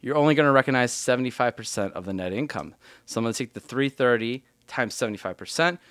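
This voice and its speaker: A man's voice: mid-range voice, lively intonation, quick pace, a bit bright, no echo. A man giving an explanatory tutorial as an instructor, talking informally through worked examples step by step. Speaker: accounting instructor.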